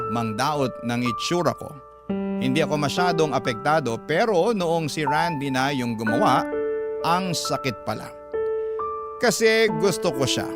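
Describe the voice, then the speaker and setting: A man hosting a radio love-story show, narrating a listener's letter in the first person in a confiding, conversational way. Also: medium pitch. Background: prominent background music.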